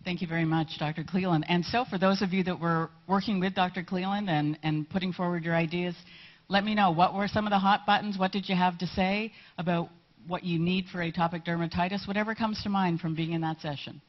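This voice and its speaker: Woman moderator at a conference, brisk and polite, slightly muffled hall sound. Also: fairly low pitch, steady tone. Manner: brisk, polite, keeps things moving. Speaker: panel moderator.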